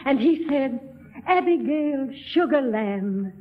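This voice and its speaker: A woman's voice: sits high, lively intonation, takes it slowly. A woman playing a gushing, lovestruck middle-aged society lady with a fluttery, affected genteel manner in 1930s radio comedy. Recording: dull vintage 1930s radio recording.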